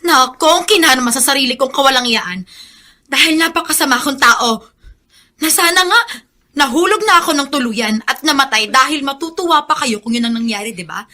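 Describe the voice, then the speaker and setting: A woman's voice: high-pitched, lively intonation, a little fast, pushed and bright. An actress playing a bitter, defiant young woman in a Filipino radio drama, speaking in an accusing, emotional way. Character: bitter, defiant young woman rejecting her long-lost mother. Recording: background music under dialogue.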